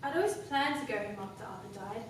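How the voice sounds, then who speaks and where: A young woman acting a composed, cunning, sharp-tongued woman in a formal, deliberate theatrical monologue. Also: mid-range voice, expressive, natural voice. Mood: cool, confiding. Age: young adult. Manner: deliberate, formal, dramatic delivery. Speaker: student actor. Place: classroom performance in front of class. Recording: echoey room, distant mic, background noise.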